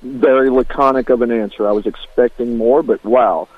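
A man's voice: medium pitch, fairly even intonation, moderate pace, dry recording. A man calling in to a radio show, talking in a friendly, chatty way. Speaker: radio caller. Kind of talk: casual call-in chat. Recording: tinny phone line.

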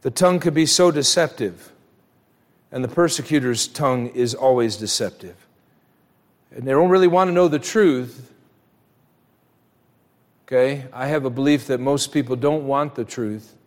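A man's voice: medium pitch, moderate pace, long pauses. A man preaching a church sermon as pastor, emphatic and direct, putting rhetorical questions straight to his listeners. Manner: acts out imagined dialogue. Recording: long hall reverb.

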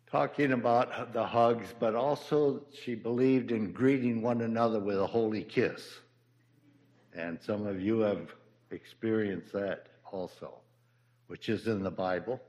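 A man near ninety giving a halting memorial tribute at a church service, stumbling over words with fillers. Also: fairly low pitch, darkish tone, dull audio. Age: older, near ninety. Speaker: church member giving a eulogy.

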